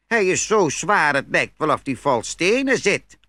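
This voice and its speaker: An actor playing a sly, smooth-talking poor peasant trickster in a dramatised fairy tale, with theatrical feigned hesitation. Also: male voice, fairly high pitch, big pitch swings, measured pace, pushed and bright, no room sound, full character voice. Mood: mock musing.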